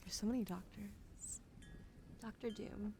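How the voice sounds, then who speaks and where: A woman talks softly into a close desk microphone, sliding between hushed speech and breathy whispers as she chats warmly with viewers. Her voice carries a gentle creaky edge at a middling pitch, and a steady wash of room noise sits underneath. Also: a little slow. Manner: soft, gentle, partly whispered delivery; chatty and affectionate with viewers. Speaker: livestreamer. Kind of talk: soft-spoken ASMR card reading.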